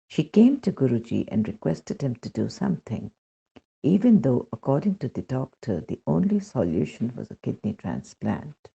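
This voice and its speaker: A man with an Indian accent reads a devotional testimony aloud as scripted narration, in a gravelly, husky voice. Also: medium pitch, warm tone. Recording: dull, muffled lo-fi recording.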